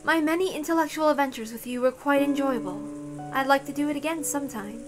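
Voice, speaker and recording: A woman voicing a composed, motherly witch who speaks with gentle, genteel courtesy. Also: high-pitched, fast, over music, close to an everyday voice. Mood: courteous, composed.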